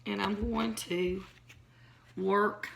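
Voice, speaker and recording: A hoarse woman, chatting casually as she shows her home cooking in a kitchen. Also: mid-range voice, steady tone, very slow. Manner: chatty, rambling, folksy. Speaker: home cook. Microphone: phone or camera on counter. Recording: noisy home recording.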